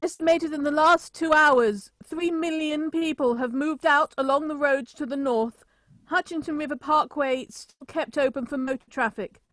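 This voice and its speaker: A woman playing a grave, solemn radio reporter giving a deliberate eyewitness account in a radio drama. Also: sits high, fairly bright tone, no echo. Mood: grave, resigned. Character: grave radio reporter narrating a city's destruction from a rooftop. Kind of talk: radio drama acting, live news report.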